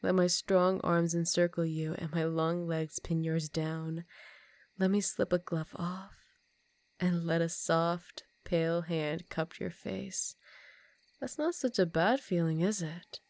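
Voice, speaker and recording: Low, teasing vampire countess acted by a woman. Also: fairly even intonation, a little mellow, no room sound. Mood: amused, possessive. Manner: slow, teasing, condescending, with breathy half-whispers. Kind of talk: ASMR roleplay.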